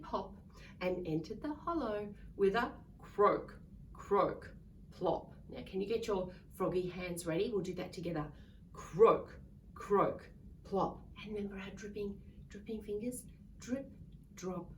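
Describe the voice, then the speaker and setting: A woman's voice: medium pitch, slow pace. A woman reading a picture book aloud to young children in a sing-song way, coaxing them to join in. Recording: distant mic with faint background noise.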